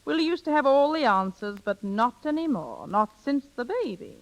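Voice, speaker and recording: An actress playing a brisk, scheming, worldly woman, knowing and assured, in a 1930s radio drama. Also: high-pitched, expressive, poor audio. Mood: knowing, persuasive.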